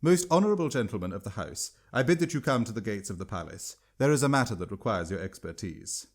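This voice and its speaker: A man voicing a stiff, courteous palace servant in a storybook reading, with formal, measured manner. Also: on the low side, sing-song.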